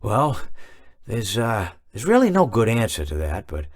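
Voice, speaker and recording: A man voicing a gentle, philosophical animatronic oddball in a game, rambling haltingly and stammering through a monologue. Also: fairly low pitch, wildly swooping pitch, heavily acted. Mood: musing.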